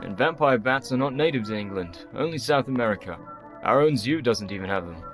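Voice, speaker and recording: Man playing measured English gentleman doctor. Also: mid-range voice, expressive, faint music behind, natural voice. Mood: frustrated, reasoning. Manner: formal, measured. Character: courteous, weary English gentleman doctor, rational and protective. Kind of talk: radio play acting.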